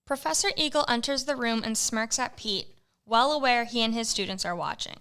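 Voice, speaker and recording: A woman narrating a comic student radio play in a brisk storybook manner. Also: on the high side, very noisy.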